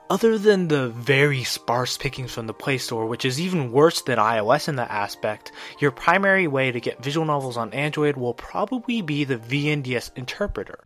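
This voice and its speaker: A man's voice: medium pitch, fairly bright tone. A young man reading a scripted guide as a review voice-over. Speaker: video guide narrator. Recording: music behind the voice. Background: background music.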